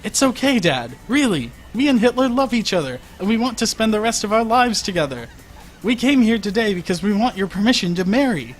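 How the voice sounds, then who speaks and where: Gushing, lovestruck young god, put on by a man, high-pitched. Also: very animated, a little fast, soft background music. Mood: earnest pleading. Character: gushing, lovestruck male deity, naively earnest.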